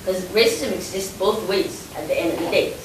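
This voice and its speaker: A woman's voice: medium pitch, moderate pace. A young woman raised in Finland, talking reflectively with lots of "you know" and repeated starts. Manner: many fillers, "you know", hesitant repeats. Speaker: interviewee. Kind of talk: reflective casual talk. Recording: echoey, distant mic with hiss.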